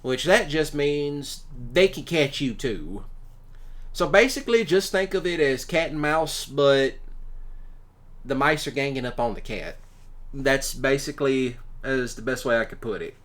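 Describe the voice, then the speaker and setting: A man's voice: mid-range voice, lively intonation, unhurried, fairly bright tone. Man, mid-range voice, folksy and blunt, casual rambling storyteller. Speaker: ASMR roleplay creator and commentator.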